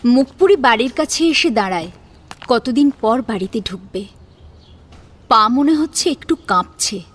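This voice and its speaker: High-voiced female story narrator. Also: lively intonation, unhurried. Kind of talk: dramatic scripted narration. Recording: music behind the voice.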